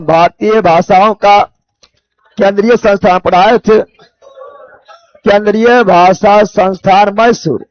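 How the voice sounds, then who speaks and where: A man's voice: high voice, dry recording, dull audio. A man from Bihar with a Bihari accent teaching an exam coaching class, repeating key phrases and quizzing his students as he goes. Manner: repeats key phrases, asks students rhetorical check questions. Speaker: teacher for competitive exam general knowledge classes. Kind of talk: live coaching lecture, drilling by repetition.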